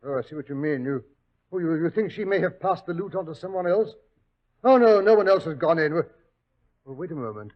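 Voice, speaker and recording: A man voicing a bumbling, easily flustered English doctor who stammers and sputters, his voice sitting a little high. The sound is a dull, lo-fi 1940s radio recording. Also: lively intonation, brisk, lightly acted. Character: bumbling, blustery English doctor sidekick, middle-aged.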